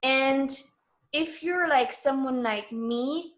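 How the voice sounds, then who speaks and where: A woman with a high voice, speaking as a language. She talks like a guest in a casual interview, sure of herself and informal. Her delivery is emphatic as she explains her views. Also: takes it slowly, fairly bright tone. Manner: emphatic, self-assured, informal.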